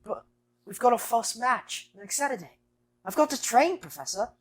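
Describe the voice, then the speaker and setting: A woman playing a hot-headed, earnest teenage boy wizard in an audio drama, impulsive and quick to protest. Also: a little high, expressive, husky. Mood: indignant.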